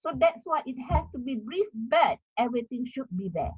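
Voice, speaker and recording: A woman's voice: sits high, expressive, a little fast, breathy edge, no echo, muffled recording. A middle-aged woman from Malaysia with a Malaysian English accent, giving an academic talk on article writing, repeating herself with many fillers. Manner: repetitive, explanatory, many fillers. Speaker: university lecturer.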